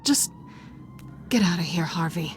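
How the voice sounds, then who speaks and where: A woman's voice: lowish voice, animated, unhurried, faint music behind. An actress playing a tough, defiant woman in a dramatic video game, speaking in a curt, challenging way. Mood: cool, irritated. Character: tough, defiant, streetwise woman who stands her ground.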